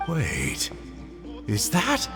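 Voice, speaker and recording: A sly, glib game character, a man who loves teasing children. His voice sits fairly high and swoops theatrically as he draws out his words at an unhurried pace. Music plays clearly behind him. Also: huge pitch swings, full character voice. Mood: teasing, evasive. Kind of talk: video game character acting. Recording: long reverb, music behind.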